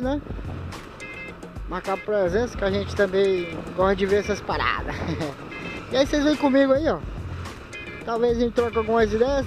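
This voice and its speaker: Laid-back motovlogger man riding. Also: sits high. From Manaus, Brazil. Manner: chatty, laid-back slang, frequent laughs. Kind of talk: casual motorcycle vlog commentary. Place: riding a motorcycle on city streets outdoors.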